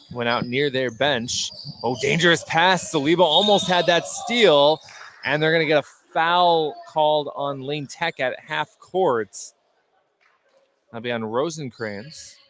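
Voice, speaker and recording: Male sports radio announcer, higher register, live basketball play-by-play in clipped phrases, clear gymnasium noise behind. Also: fairly bright tone. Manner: clipped running description in short phrases. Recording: noisy gym, clear background noise. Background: gymnasium ambience, bouncing basketballs.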